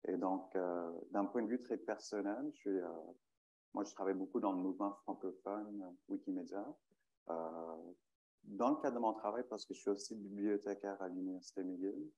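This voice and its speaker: A man's voice: sits low, fairly even intonation, moderate pace, warm tone. A man from Michigan, a native English speaker, speaking French on a panel, hesitant and searching for words. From Michigan, United States. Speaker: panelist, librarian. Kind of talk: panel talk. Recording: dull, lo-fi sound.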